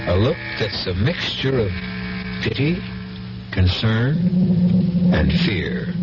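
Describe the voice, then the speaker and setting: Guy narrating old radio mystery, slow n ominous, muffled, music behind. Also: fairly low pitch. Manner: deliberate, ominous, with dramatic pauses. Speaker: host and narrator. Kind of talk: scripted dramatic 1970s radio narration. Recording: muffled 1970s radio broadcast.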